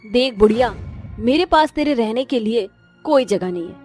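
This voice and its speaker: A woman's voice: a little high, animated, moderate pace, darkish tone, faint music behind, close to an everyday voice. A woman voicing an emphatic, scheming daughter-in-law in a cartoon moral story. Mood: harsh, commanding. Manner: theatrical, emphatic. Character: scheming, cruel daughter-in-law, later a desperate, remorseful mother. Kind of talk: animated moral-story voice acting.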